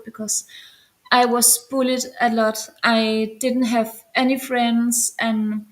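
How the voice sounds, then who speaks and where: A woman's voice: fairly high pitch, a bit bright, rough recording. A young woman who is not a native English speaker answers interview questions in a light voice, hesitating and searching for words. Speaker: guest musician.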